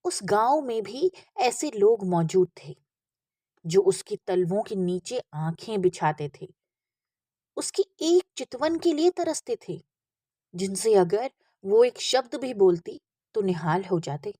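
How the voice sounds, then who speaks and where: A woman's voice: medium pitch, animated, takes it slowly, mellow, husky, no room sound, clean studio sound. A woman reading a literary story aloud as an audiobook narrator, with expression.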